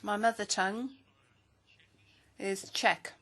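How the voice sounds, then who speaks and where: Steady woman interpreter, mid-pitched. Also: takes it slowly. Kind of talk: simultaneous interpretation at a hearing.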